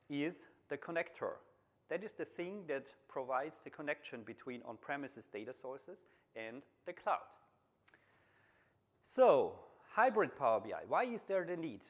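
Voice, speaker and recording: A middle-aged man from Austria with an Austrian German accent, German being his first language, giving a technical conference talk in a large room with steady, repetitive explaining. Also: medium pitch, normal speed. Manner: plain, steady explaining with repeated phrasing and some restarts. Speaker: conference speaker, BI consultant.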